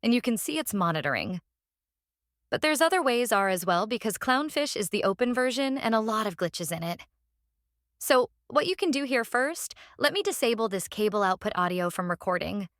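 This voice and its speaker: A woman narrating a casual software tutorial, explaining step by step in a halting way and repeating phrases. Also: a little high, expressive, no echo, studio quality. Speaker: tutorial presenter.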